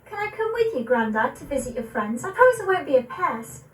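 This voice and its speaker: A young woman of eighteen playing a curious, innocent little granddaughter, asking questions in a measured verse recital. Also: high voice, sing-song, roomy sound, far from the mic, rough recording. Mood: pleading. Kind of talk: dramatic poetry recital.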